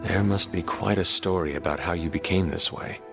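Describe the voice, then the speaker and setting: An actor voicing a solemn, literal-minded male warrior in a video game, speaking formally and earnestly with a deadpan delivery. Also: low-pitched, normal speed, gravelly, over music.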